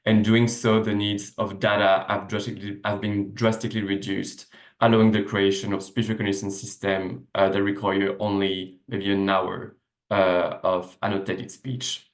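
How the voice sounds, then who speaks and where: A man gives a seminar talk from his desk over a video call. His voice sits a little low, and he explains things step by step, with plenty of fillers and restarts. The laptop mic picks up a noticeably echoey room around him. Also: little pitch movement, normal speed. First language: French. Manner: explanatory, with frequent "uh" fillers and self-corrections. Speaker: researcher giving a seminar talk. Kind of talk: academic lecture over slides.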